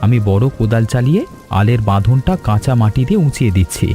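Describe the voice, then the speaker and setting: A respectful young village son in a cartoon, played by a man. His voice is soft-edged and mellow at a middling pitch, with music underneath. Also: expressive, fast, natural voice. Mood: reassuring. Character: dutiful, respectful grown son of a farmer. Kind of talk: scripted cartoon dialogue.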